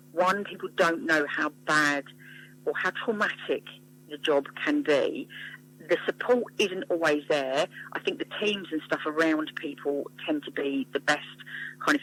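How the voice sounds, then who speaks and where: A woman's voice: sits low, fairly bright tone, poor audio. A woman with an English accent speaking passionately and emphatically as a guest in a radio interview. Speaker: interview guest, mental health charity founder and psychotherapist.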